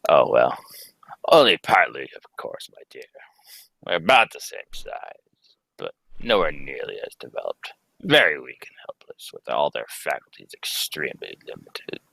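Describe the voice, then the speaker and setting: A man's voice: rumbling low, sing-song, normal speed, creaky, a bit bright, breathy edge, no echo, heavily acted, low-quality recording. A young man playing a grave, urgent alien scientist and family man in a live radio play reading, speaking in solemn, theatrical pronouncements. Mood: earnest. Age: young adult. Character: grave, urgent Kryptonian scientist, husband and father. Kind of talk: live amateur reading of old radio script.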